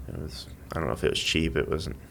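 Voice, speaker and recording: Guy on a podcast, deep gravelly voice, rambles a bit and stops and starts, just chatting. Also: a bit bright, slight background noise. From Missouri, United States. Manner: halting, rambling, thinking aloud. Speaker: podcast guest, amateur athlete and school IT worker. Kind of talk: casual interview chat.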